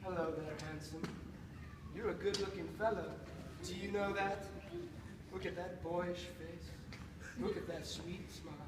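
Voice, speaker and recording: A manic, grandiose mad scientist in a comic horror melodrama. His voice sits very high for a man. It comes from off the mic in an echoing hall, with loud noise around it. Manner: melodramatic, swinging from hushed coaxing to shouting. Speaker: actor. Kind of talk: theatrical parody of old horror melodrama. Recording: echoey hall, distant, loud background noise.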